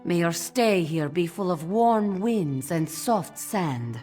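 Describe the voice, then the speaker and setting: A gracious island villager from a fantasy game. She speaks slowly and in a welcoming way, with faint music behind her. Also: on the low side, lively intonation, mellow. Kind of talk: video game character dialogue.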